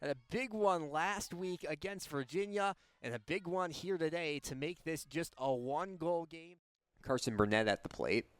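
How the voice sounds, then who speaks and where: Higher-pitched young male play-by-play announcer. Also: normal speed, clean tone, no room sound. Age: young adult. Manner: clipped, descriptive call of the action. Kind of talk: live radio sports play-by-play commentary.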